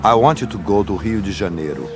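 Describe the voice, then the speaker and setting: An actor playing a suspicious boss in a radio drama, speaking tersely. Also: male voice, lowish voice, animated, fast talker, lightly acted. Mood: conspiratorial. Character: suspicious Brazilian man hiring an investigator. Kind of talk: naturalistic radio drama dialogue. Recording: radio play with music underneath.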